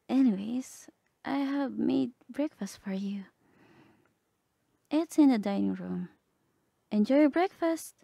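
A woman playing a gentle, lovestruck bard companion in a romantic ASMR roleplay, speaking softly and tenderly, often half whispering. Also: on the high side, expressive, slow, vocal fry, pauses often, no echo, studio quality, full character voice. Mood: gentle, cheerful. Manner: tender, hesitant, polite.

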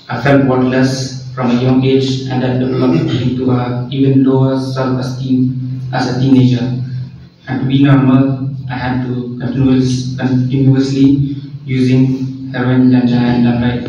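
A man, steadily reading a personal recovery testimony at a podium, with frequent throat clearing. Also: medium pitch, slight background noise. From India. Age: 20s. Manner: steady, reads slowly with small restarts, clears throat often. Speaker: recovering addict giving testimony. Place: indoor room at a podium. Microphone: far camera. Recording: echoey room from far, dull lo-fi.

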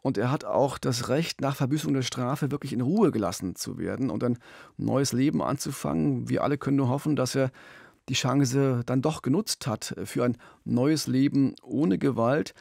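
A man's voice: mid-range voice, normal speed, gravelly, darkish tone, dry recording. A middle-aged man co-hosting a true-crime podcast in a conversational storytelling style, close on a studio mic. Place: small radio studio. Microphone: close studio microphone with pop filter, headphones.

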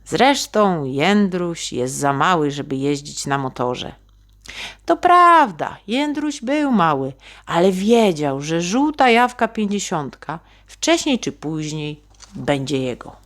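Slow, animated woman storyteller. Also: lowish voice. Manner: dramatic, addresses young listeners. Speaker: children's storyteller. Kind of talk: expressive bedtime-story narration in Polish for children.

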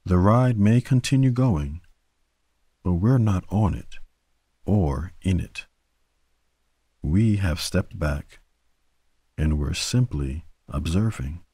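A man with a very low, mellow voice guides a bedtime meditation. He speaks gently and soothingly, letting each phrase settle before the next. The sound is close and very dry. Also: lively intonation, slightly rough, no room sound. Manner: gentle, soothing, unhurried delivery with long pauses. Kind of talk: guided sleep meditation narration.

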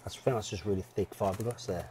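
A man's voice: lowish voice, fast talker. British man with noisy, clicky background. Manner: informal, thinking aloud as he works. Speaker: DIY vehicle vlogger. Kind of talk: casual hands-on how-to commentary.

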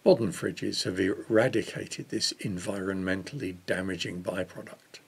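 An older man reads a devotional text aloud in a measured manner. His voice is fairly low and husky, with a strong creak running through it. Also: fairly even intonation. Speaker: reader of a church Lent devotional. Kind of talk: reading a devotional book aloud.

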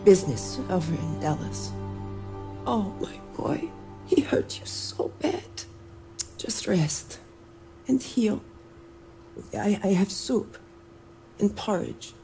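A woman playing a gentle, sorrowful, loving mother in a game, speaking tenderly in a hushed way. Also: medium pitch, expressive, takes it slowly, long pauses, faint music behind, rough recording.

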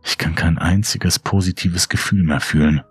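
A man reading a horror story as scripted dramatic audiobook narration, grave, measured and brooding. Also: low-pitched, fairly even intonation, slightly rough, no room sound. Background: faint music.

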